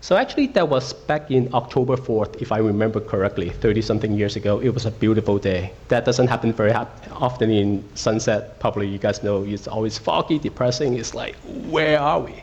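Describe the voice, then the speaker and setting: A man's voice: on the low side, fairly quick, hardly any pauses, a little mellow. A middle-aged man from Hong Kong giving a talk at a school, often asking the audience questions and checking in with "okay". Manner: interactive, asks audience questions, frequent okays. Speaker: guest speaker. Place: school auditorium.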